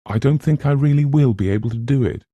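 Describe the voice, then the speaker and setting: A man's voice: medium pitch, fast talker, mellow, dry recording, dull audio, pitched above the performer's own voice, natural voice. A man voicing a dreamy, anxious young woman with a hesitant, wistful manner in an audiobook.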